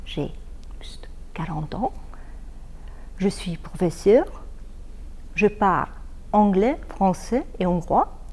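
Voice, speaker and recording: A Hungarian woman in her forties, a native Hungarian speaker, reading out a short self-introduction in a measured way. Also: mid-range voice, animated, darkish tone. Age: 40s. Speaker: teacher.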